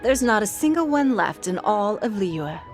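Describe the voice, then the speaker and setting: A woman playing a shrewd, refined, wealthy businesswoman in a video game, speaking in a measured, formal, eloquent way. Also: mid-range voice, very animated, over music, lightly acted. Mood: composed, informative. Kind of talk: game dialogue acting.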